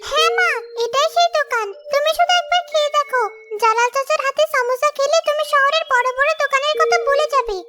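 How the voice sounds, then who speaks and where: Woman does an eager, well-mannered cartoon daughter, squeaky-high, over music. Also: fairly quick. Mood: excited, polite. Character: excited, polite young daughter. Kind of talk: cartoon voice acting.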